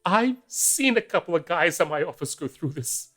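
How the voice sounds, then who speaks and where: A man's voice: a little high, animated, normal speed, pushed and bright, faint music behind. A man playing a middle-aged husband and father in an audio drama, weary, resigned and hesitant. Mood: firm, pained.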